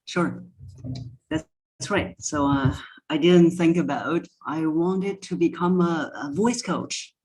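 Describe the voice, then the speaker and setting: A woman who works as a voice coach, answering interview questions conversationally with measured, hesitant phrasing. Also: low voice, a little mellow, poor audio. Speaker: voice coach and podcast guest.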